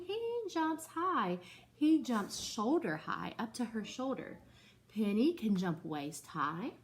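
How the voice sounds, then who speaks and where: Female teacher, story read-aloud for young kids, expressive and patient, mid-pitched voice. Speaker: second-grade teacher. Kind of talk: reading a picture book aloud to a class, with explanations.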